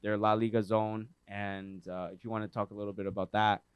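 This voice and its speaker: A man co-hosting a casual soccer podcast, talking in an upbeat way and giving shout-outs. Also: lowish voice, little pitch movement, fairly quick, clear, resonant tone, no room sound, lo-fi sound. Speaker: podcast co-host. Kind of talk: casual chat.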